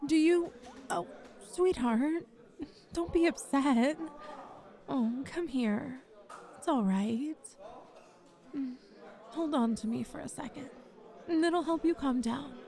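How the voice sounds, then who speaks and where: A woman playing a kind, flirty female bar manager in an ASMR roleplay, gently reassuring and encouraging a nervous job applicant. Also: high-pitched, slow pace, some chatter behind. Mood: tender, soothing. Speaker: voice actor in an audio roleplay. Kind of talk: ASMR roleplay, one-sided conversation.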